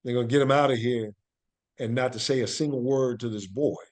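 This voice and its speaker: A man speaking as an interview guest, telling stories conversationally in deliberate, emphatic short phrases. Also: medium pitch, steady tone, a little mellow. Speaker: podcast guest, actor and playwright.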